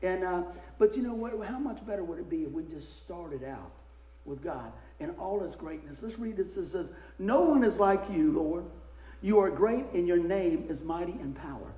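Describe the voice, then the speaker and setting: A middle-aged man, a pastor, preaching a casual sermon with a hoarse voice that he is losing, folksy and animated in his stories. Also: normal speed, echoey room, rough recording. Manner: folksy, animated storytelling with rising pitch in anecdotes. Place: church.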